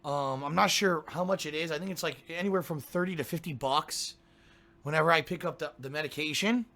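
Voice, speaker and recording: Streamer, male, down with a cold: hoarse, congested, gravelly, grumbling chat with throat-clearing. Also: a little high, brisk, bright, forceful tone. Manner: grumbling, ranting, keeps clearing his throat. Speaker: game streamer. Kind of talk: casual pre-stream chat to viewers.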